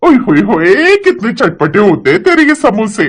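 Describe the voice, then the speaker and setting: A man's voice: sits high, huge pitch swings, normal speed, voice pushed up, heavily acted. A man voicing a doting, feisty elderly village auntie who loves her food, in a cartoon story. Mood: gushing. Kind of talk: Hindi cartoon story character voice.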